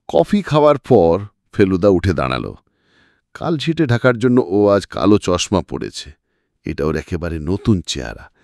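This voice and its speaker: A man's voice: low voice, vocal fry, darkish tone, no echo, clean studio sound. A man narrating a detective story from a script in a dramatic audiobook style.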